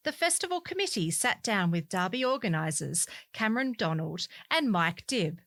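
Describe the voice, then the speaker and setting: A woman's voice: medium pitch, big pitch swings, moderate pace, a bit bright, no room sound. A woman reading community newspaper stories aloud as scripted narration. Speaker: volunteer newspaper reader.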